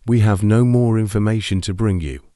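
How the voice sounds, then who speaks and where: A man playing a grave TV announcer, reading formal emergency bulletins in a measured, solemn manner. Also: fairly low pitch, warm tone, no room sound, studio quality, close to an everyday voice.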